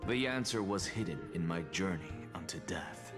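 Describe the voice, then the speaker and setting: Expressive, self-assured, cryptic scholar. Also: male voice, low-pitched. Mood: cool, assured. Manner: theatrical, eloquent, deliberate. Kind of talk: video game voice acting. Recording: music clearly behind the voice.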